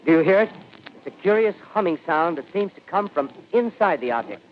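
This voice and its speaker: An actor playing a live on-scene radio reporter in a radio drama, talking in breathless commentary with hesitant fillers. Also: male voice, high voice, big pitch swings, a little fast. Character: excitable male field radio reporter. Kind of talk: 1930s radio drama acting. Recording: dull lo-fi radio recording, faint hiss.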